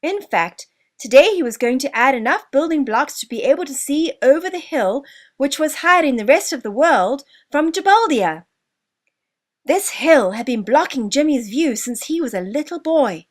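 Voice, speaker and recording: A woman author narrating her own children's story in an expressive, deliberate storybook manner, drawing out certain words. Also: high voice.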